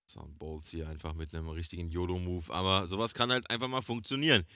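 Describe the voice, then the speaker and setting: A man's voice: deep voice, quick pace, bright, forceful tone, clean tone, dry recording, clean studio sound. A man casting a game alone as play-by-play commentator, chatty and addressing viewers as friends. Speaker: esports caster. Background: game gunfire and clicks.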